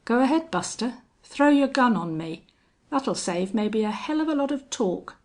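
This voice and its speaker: A woman's voice: a little high, normal speed, voice pushed up, natural voice. A woman voicing a cold, contemptuous older male gunfighter in a western audiobook, steely and taunting. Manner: strange monotone, taunting, cold. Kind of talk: audiobook character acting.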